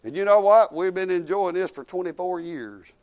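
A man in his fifties with a Southern US accent preaching informally, folksy and conversational, swinging emphatically between quiet asides and loud declarations. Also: high-pitched, moderate pace, smooth, low-quality recording. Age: 50s. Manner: folksy and conversational, peppered with praise exclamations. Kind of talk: informal sermon with personal anecdotes and prayer.

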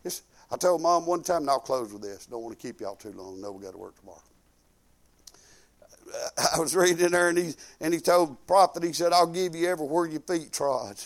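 A man in his fifties with a Southern US accent preaching informally, folksy and conversational, swinging emphatically between quiet asides and loud declarations. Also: on the high side, expressive, brisk. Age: 50s. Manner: folksy and conversational, peppered with praise exclamations. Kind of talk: informal sermon with personal anecdotes and prayer.

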